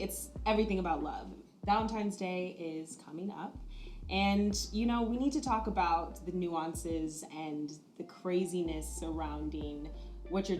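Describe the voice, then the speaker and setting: A woman in her twenties hosts a dinner-table conversation, chatty and relaxed. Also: lowish voice, roomy sound. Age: 20s. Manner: relaxed, chatty, laughs easily. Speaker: host. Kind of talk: casual dinner-table chat. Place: dining room at a dinner table.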